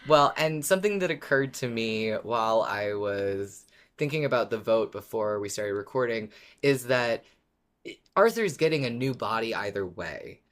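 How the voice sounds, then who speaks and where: A podcast host talking casually and reflectively, weighing both sides in a measured way. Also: mid-range voice, animated, fairly bright tone.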